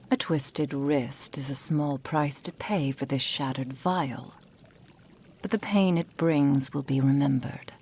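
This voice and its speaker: Woman acting as a menacing kitsune witch for asmr roleplay, low husky voice, really slow, possessive and condescending. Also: mellow, heavily acted. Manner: slow, breathy, condescending, often dropping into whispers. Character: possessive, menacing female fox-spirit witch, cruelly affectionate. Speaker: roleplay audio performer.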